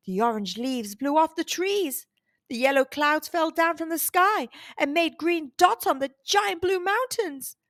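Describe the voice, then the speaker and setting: High-pitched, animated woman storyteller, slow pace. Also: no room sound, clean studio sound. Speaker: children's storytime host and narrator. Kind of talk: expressive read-aloud storytelling for young children.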